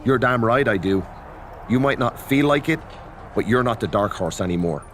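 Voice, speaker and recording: A man's voice: mid-range voice, expressive, normal speed, fairly bright tone, a little hiss or hum, close to an everyday voice. A man playing a blunt, goading but encouraging friend in a wrestling game cutscene. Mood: firm, rousing. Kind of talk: video game cutscene acting.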